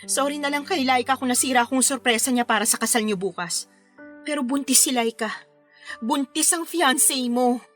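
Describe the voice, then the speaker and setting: A woman's voice: high voice, moderate pace, fairly bright tone, faint music behind. An actress playing a firm, hurt woman resisting her lover in an emotional Filipino radio-style romantic drama. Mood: dismissive, resigned. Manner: emotional, reproachful.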